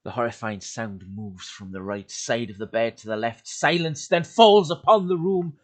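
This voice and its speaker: Man reading horror aloud, hushed then urgent. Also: normal speed. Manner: swings from loud urgency to hushed, breathy lows. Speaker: YouTube creepypasta reader. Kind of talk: dramatic horror story reading. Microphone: home desk mic.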